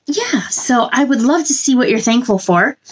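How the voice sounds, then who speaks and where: A woman's voice: a little high, animated, moderate pace, low-quality recording. A woman in her thirties hosting a story time for children, speaking expressively and simply to young listeners. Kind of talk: picture book read-aloud and chat to young viewers. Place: small indoor room.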